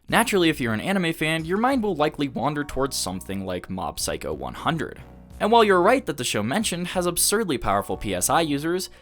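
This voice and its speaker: A man's voice: fairly high pitch, fairly bright tone. A man delivering scripted video essay narration as the host of an anime review.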